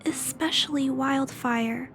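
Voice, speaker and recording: A woman voicing a gentle, earnest little girl in a video game, polite and hesitant. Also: sits high, takes it slowly, heavily acted. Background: music.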